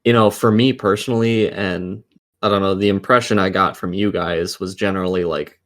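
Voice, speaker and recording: Male podcast co-host, 30s, somewhat deep voice, casual conversational read. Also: fairly even intonation, normal speed, fairly bright tone. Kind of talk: casual chat.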